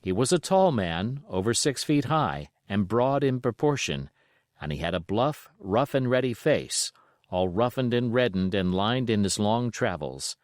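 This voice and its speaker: A man reading a storybook aloud as scripted, expressive narration. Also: fairly low pitch, unhurried, no echo, studio quality. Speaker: audiobook reader.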